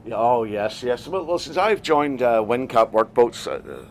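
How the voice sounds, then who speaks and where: Northern Irish man, skipper, mid-pitched, halting plain-spoken interviewee on a boat. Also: expressive, vocal fry, slight background noise. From Northern Ireland. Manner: hesitant, plain-spoken, many fillers and restarts. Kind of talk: casual interview answers. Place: on a small boat offshore.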